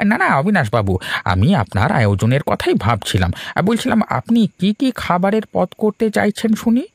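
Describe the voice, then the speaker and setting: A man voicing a greedy, obsequious village shopkeeper in a cartoon, wheedling and flattering. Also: high voice, fairly quick, gravelly, no echo, exaggerated voice. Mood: fawning. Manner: fawning, hesitant wheedling. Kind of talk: animated cartoon voice acting. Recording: faint music behind the voice.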